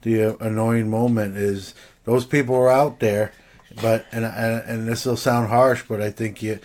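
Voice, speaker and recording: A man with a somewhat low voice, speaking as a guest on a talk show. He reflects slowly and hesitantly, leaning on fillers and restarting his sentences. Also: level tone, a little mellow. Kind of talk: casual chat.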